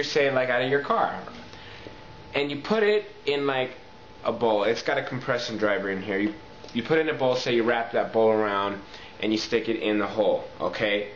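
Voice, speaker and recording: A man presenting a casual how-to video, explaining step by step in an informal, halting way and often posing his own questions. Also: medium pitch, a bit bright. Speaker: talk box tutorial presenter. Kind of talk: casual instructional video talk. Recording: echoey room, muffled lo-fi audio, faint noise.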